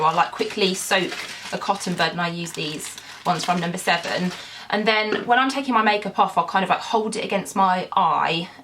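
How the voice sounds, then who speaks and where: A young woman talks through her routine in a relaxed, chatty way. She sounds congested from a cold, and her talk is full of ums and little hedges. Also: mid-range voice. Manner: chatty, with frequent ums and kind ofs. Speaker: beauty vlogger. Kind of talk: casual skincare walkthrough.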